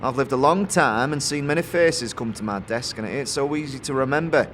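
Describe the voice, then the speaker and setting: A man's voice: medium pitch, fairly quick, fairly bright tone, slight background noise, pitched above the performer's own voice, lightly acted. A man voicing a wizened, sly, forgetful old storekeeper who rambles hesitantly in a fantasy audio drama. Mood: hesitant, musing.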